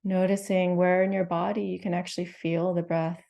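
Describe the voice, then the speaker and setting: A woman leading a guided meditation, speaking slowly and gently with soothing instructions and long pauses. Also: on the low side, little pitch movement, vocal fry. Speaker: meditation teacher.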